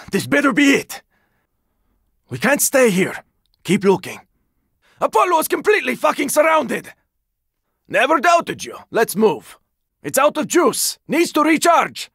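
Gravelly high-pitched zombie apocalypse fighter. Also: male voice, wildly swooping pitch, unhurried, long pauses, fairly bright tone, no echo, clean studio sound, exaggerated voice. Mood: urgent, shouting. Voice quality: raspy, gravelly. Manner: terse, urgent, swearing. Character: gruff, weary, sardonic male squad fighter in zombie apocalypse. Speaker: video game voice actor. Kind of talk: video game character combat barks.

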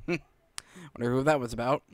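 A man's voice: medium pitch, slow, dry recording. A man giving casual, rambling commentary while playing a video game. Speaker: gaming commentator. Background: game music.

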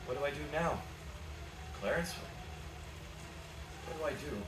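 A man acting a desperate, excitable husband and father in a live radio play, stammering and pleading. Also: a little high, measured pace, natural voice. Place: small indoor theatre stage. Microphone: far camera from back of audience. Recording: distant, echoey, noisy theatre audience recording.

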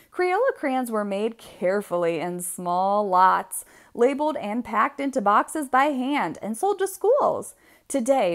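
A woman storyteller reads a children's picture book in a fairly high voice that moves up and down in lively swings. She takes her time, leaning on key words and drawing them out for emphasis. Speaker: read-aloud storyteller.

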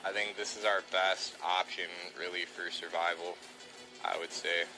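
A man's voice: low voice, steady tone, moderate pace, over music, low-quality recording. A man streaming a video game, chatting casually in character and giving repeated, bossy squad-leader commands. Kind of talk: casual in-character gameplay chat.

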